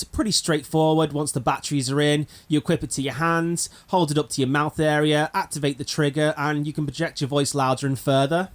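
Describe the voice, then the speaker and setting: A man narrating an informal gaming explainer, talking directly to viewers in a conversational way. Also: on the high side. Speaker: video presenter of a gaming concept. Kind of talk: informal explainer narration of a game item idea.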